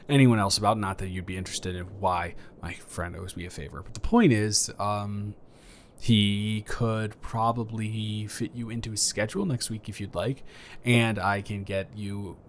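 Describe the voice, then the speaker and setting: A man's voice: lowish voice, level tone, moderate pace, a little hiss or hum, lightly acted. A man playing an awkward, friendly neighbor in an improvised roleplay audio, stammering and rambling hesitantly. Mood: hesitant, helpful.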